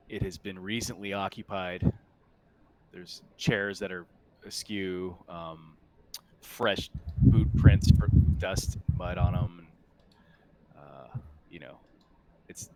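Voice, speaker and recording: A man improvising scene descriptions as game master of a tabletop game, in a halting, descriptive way. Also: low-pitched, a little mellow. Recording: faint background noise.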